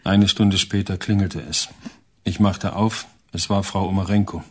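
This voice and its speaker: An actor playing a humble, earnest, elderly husband of little means in a radio drama. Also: male voice, sits low, flat delivery, a little slow, a little mellow, slightly rough. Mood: worried recounting. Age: older.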